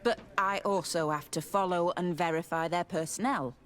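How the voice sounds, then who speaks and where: An actress playing a bodyguard officer in a fantasy game. Her voice is fairly low for a woman. She answers her superior with courteous, dutiful formality. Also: fairly even intonation, normal speed, natural voice. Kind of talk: video game dialogue acting.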